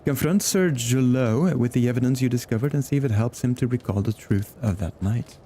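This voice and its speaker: A man's voice: medium pitch, brisk, mellow, a little hiss or hum, close to an everyday voice. A man reading the lines of a formal, earnest young male noble scholar from a game, with game music playing behind him. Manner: reads formal game dialogue aloud, stumbling on long words.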